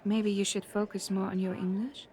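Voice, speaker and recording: A woman voicing a calm, frank young woman who teases gently. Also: medium pitch, moderate pace, natural voice. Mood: teasing.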